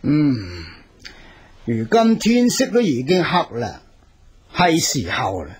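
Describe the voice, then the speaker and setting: A calm old master in a period radio drama. He talks very slowly and with authority, yet his fairly high voice moves with lively melody. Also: heavily acted. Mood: solemn. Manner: measured, authoritative. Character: calm, assured old male feng shui master.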